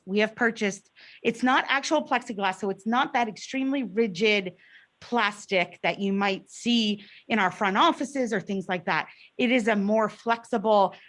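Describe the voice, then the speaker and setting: A woman school administrator giving a careful, procedural report at a board meeting, with a loud voice. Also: mid-range voice.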